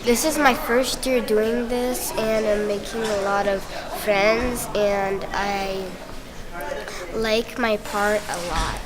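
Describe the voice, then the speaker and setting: A woman's voice: mid-range voice, fairly even intonation, takes it slowly, low-quality recording. A young female cast member chatting casually in an interview. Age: child or teenager. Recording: loud crowd chatter behind.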